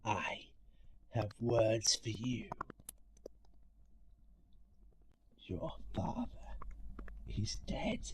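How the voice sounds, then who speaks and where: A man playing an ugly, spiteful monster in an audio story, delivering a bitter, theatrical monologue of revenge with deliberate menace that swings between hiss and shout. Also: medium pitch, natural voice. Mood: brooding. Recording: noisy amateur home recording with clicks.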